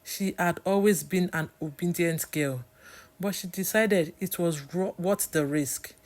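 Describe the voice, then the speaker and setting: A woman's voice: on the low side, unhurried, darkish tone. A woman with a Nigerian accent reading a scripted story as its storyteller.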